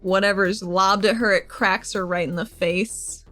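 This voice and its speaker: Female tabletop player: casual, conversational, informal delivery at medium pitch, light music underneath. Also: steady tone, unhurried, bright, forceful tone. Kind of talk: casual roleplaying chat.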